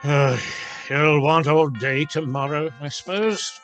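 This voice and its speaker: A man's voice: fairly high pitch, slow, fairly bright tone, faint music behind. An actor playing a curt, scornful, miserly old businessman in a radio play. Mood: irritated.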